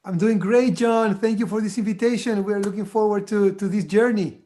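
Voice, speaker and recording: A man's voice: high voice, fairly even intonation, brisk, dark tone. A man from Peru, a musician guest patiently explaining music to children over a video call.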